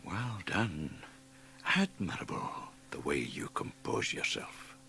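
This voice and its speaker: An actor playing a sinister, tempting inner voice of a gentleman in a radio drama, eloquent and insinuating. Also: a bass voice, big pitch swings, slow pace, gravelly, heavily acted. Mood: coaxing command. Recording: faint background noise.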